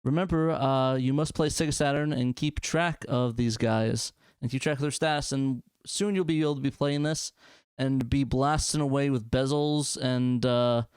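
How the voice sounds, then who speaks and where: A man's voice: medium pitch, fairly even intonation, normal speed, a little mellow, clean tone, no echo. A man hosting a casual group chat about games in a hesitant, jokey way. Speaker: host of a gaming video show.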